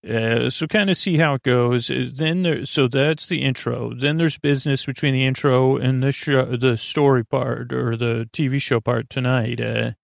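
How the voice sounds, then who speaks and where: Man, creaky fry, bedtime podcast host, soothing rambling delivery, halting and self-interrupting, dry recording. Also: medium pitch, fairly even intonation, no room sound.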